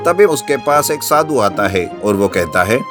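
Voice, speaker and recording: A man narrating an animated children's story from a script. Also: fairly low pitch, unhurried. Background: background music.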